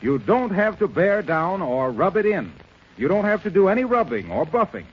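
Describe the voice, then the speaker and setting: Persuasive mailman pitchman, very varied intonation, upbeat patter; faintly noisy vintage radio. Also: big pitch swings, normal speed. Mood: upbeat selling. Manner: cheerful, persuasive, polished sales patter. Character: smooth-selling mailman pitching household floor polish. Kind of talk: 1930s radio advertising in character. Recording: muffled, noisy 1930s radio recording.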